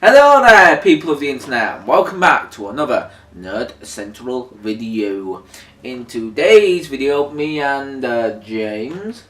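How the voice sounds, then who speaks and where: A man's voice: mid-range voice, big pitch swings, a little slow, fairly bright tone. Man hosting a casual chat, roomy and distant. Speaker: video host. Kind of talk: casual unscripted review chat. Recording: roomy echo, distant mic, music.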